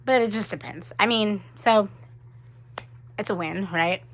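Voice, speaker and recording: A woman vlogging to her camera in a chatty, animated way, with a cold and her voice starting to fade. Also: mid-range voice, slight background noise. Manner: chatty, animated, quick asides. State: sick with a cold, voice fading. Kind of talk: casual chat to camera.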